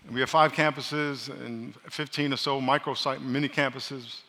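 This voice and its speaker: New pastor preaching loud and bright, jokey, echo of a big church hall. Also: male voice, medium pitch, fairly even intonation, normal speed. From New York. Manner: loud, joking, calls for congregation responses. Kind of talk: sermon. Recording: live sermon in a reverberant hall.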